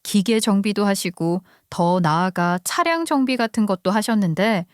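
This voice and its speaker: A woman's voice: medium pitch, moderate pace, smooth, no room sound, clean studio sound. A woman giving scripted first-person narration of a story for a radio show.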